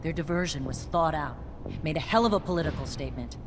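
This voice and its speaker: A woman's voice: sits low, expressive, fast talker, noisy background. A woman playing a tough, pragmatic army captain in a video game, matter-of-fact and authoritative. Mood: grim briefing.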